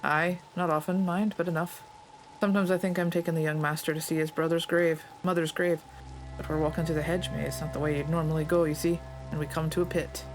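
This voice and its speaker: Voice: medium pitch, level tone, fairly quick, faint music behind, lightly acted, rough recording. A player reading aloud the lines of a plainspoken Scottish stable master in a game, in a folksy, understated way. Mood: uneasy.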